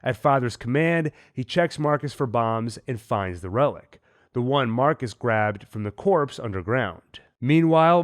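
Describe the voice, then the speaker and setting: Man, has a cold, recap host, measured, analytical, mid-pitched voice. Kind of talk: TV episode recap and theories.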